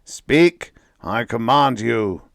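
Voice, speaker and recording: A man's voice: medium pitch, lively intonation, very slow, gravelly, dry recording, clean studio sound, pitched above the performer's own voice, full character voice. A man voicing an ancient, arrogant supreme intelligence that commands and roars threats. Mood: imperious. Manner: pompous, commanding, roaring. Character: booming arrogant cosmic intelligence, ancient, furious.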